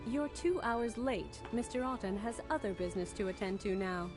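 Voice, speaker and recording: Man voicing a prim, stern woman assistant, highish pitch. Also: expressive, normal speed, over music. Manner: prim, clipped, polite. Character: stern, formal, easily annoyed woman assistant. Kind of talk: visual novel character voice read-aloud.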